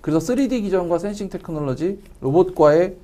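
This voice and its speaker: A man presents technical material in a webinar. His voice sits a bit high and swings widely in pitch, yet stays soft-edged and mellow as he moves quickly through his explanations. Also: expressive. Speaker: company representative presenting at a webinar.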